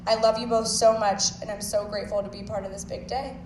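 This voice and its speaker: A woman's voice: medium pitch, little pitch movement, fast, background noise. A woman from the bride's family giving a heartfelt wedding toast to the couple. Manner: warm, heartfelt, laughing. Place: wedding reception hall. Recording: echoey hall, from far off.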